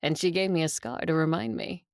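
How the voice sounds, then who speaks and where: A woman acting as an ancient, composed shapeshifter in an audio drama, husky, measured and intimate with a teasing edge. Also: deep voice, expressive, dry recording, studio quality. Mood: wry, reflective. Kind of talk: audio drama acting with first-person narration.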